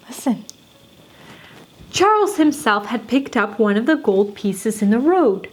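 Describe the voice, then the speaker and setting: Woman, higher-pitched voice, very slow pace, children's storyteller, expressive, deliberate dramatic pauses. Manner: deliberate, dramatic pauses, addressing young listeners. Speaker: host and storyteller.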